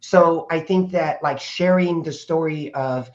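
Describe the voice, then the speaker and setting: Guest, male, 50s, raspy and a bit hoarse, rambling and casual, dull lo-fi sound. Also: a little high, measured pace, darkish tone. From Portland, Oregon, USA. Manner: rambling with frequent fillers and restarts. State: hoarse from speaking over the weekend. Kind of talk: casual conversational storytelling. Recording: dull lo-fi audio.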